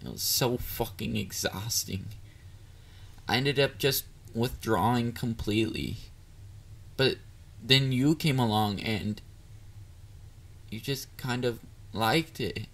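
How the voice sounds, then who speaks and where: A man playing a shy, clingy, excitable young catboy boyfriend in an intimate romantic audio roleplay, hesitant and easily flustered. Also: mid-range voice, slow pace, creaky, pauses often. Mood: vulnerable. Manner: hesitant, vulnerable, flustered, with stammers.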